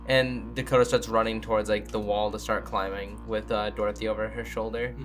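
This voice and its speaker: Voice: mid-range voice, flat delivery, brisk. Casual tabletop player with music underneath. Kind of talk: improvised tabletop roleplay.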